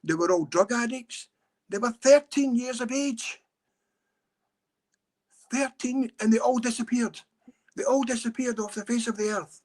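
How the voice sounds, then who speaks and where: A man's voice: very high voice, a little slow, long pauses, darkish tone. A middle-aged Scottish man telling a story in an earnest, urgent way. He talks a lot, in a casual podcast chat. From Scotland. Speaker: campaigner and guest.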